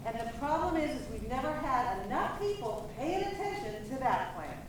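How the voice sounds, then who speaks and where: A woman speaking loudly and firmly without a microphone, urging the room to move forward. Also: sits high, very animated, normal speed. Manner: loud, firm and rallying, without a microphone. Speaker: meeting participant. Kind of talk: public meeting remarks. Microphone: no microphone, voice picked up from across the room. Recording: distant voice in a very echoey hall with room noise.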